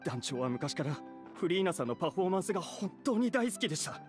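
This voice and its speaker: A man's voice: sits high, very animated, fast talker, soft background music. A man playing a polite, earnest young theater troupe member in a Japanese anime game, speaking courteously. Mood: grateful, humble. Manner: courteous, earnest, clearly phrased.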